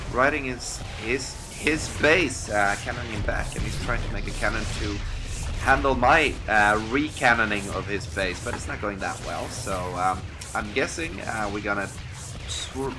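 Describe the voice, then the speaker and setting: A young man whose first language is Swedish, casually commentating his own game late at night, rambling with many ums and uhs into a laptop mic. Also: lowish voice. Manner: rambling, self-deprecating, lots of ums and uhs. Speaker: game caster. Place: at home at night. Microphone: laptop built-in mic.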